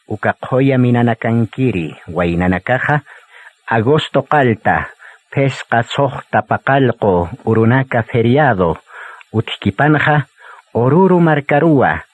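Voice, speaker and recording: A man's voice: medium pitch, no room sound. A man narrating a radio drama from a script.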